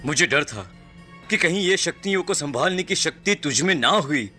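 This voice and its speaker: Man acting an anxious, bright-voiced protective father. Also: a little high, expressive, normal speed, soft background music. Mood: grave concern. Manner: earnest, dramatic. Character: anxious, protective middle-aged father guarding a secret. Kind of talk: animated horror story dialogue.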